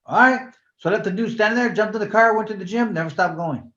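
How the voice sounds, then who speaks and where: A man's voice: high voice, fast, fairly bright tone. An older man, a former boxer from California, telling stories in a loose, rambling way full of fillers. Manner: laid-back, rambling, heavy "you know" fillers. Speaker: guest, former professional boxer. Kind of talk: casual storytelling interview. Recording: echoey, dull lo-fi sound.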